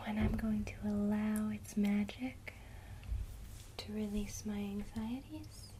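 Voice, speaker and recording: A woman teaching a witchcraft lesson in a soft, gentle ASMR whisper. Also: medium pitch, steady tone, slow pace, slight background noise.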